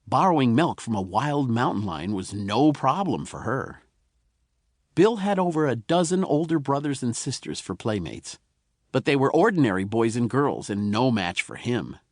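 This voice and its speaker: A man narrating a children's tall tale as an audiobook, in an expressive, folksy storytelling manner. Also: mid-range voice, dry recording, studio quality.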